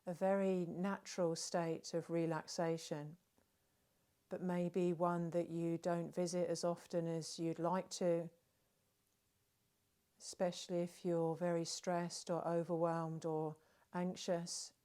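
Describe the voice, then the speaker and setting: A woman leading a guided relaxation, speaking gently and soothingly, with long unhurried pauses between phrases. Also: fairly low pitch, level tone, clear, resonant tone. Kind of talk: guided meditation narration.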